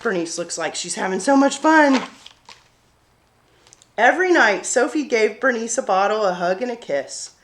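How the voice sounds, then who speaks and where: A woman's voice: a little high, expressive, normal speed, pauses often. A woman hosting a children's story time, talking and reading aloud expressively to young listeners.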